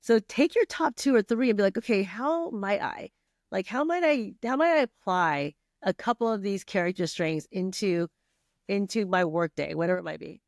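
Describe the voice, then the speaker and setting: A woman's voice: mid-range voice, animated, normal speed, dry recording, clean studio sound. Chatty middle-aged woman, lots of "like". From Vietnam. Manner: chatty, many fillers and restarts, laughs often. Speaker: podcast guest. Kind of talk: casual conversational interview.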